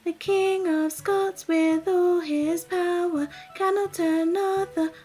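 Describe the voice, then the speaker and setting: A woman's voice: very high-pitched, steady tone, takes it slowly, a little mellow, soft background music, voice pushed up. A woman voicing an impertinent young male squirrel from a storybook, chanting riddles in a teasing sing-song. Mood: impertinent, taunting.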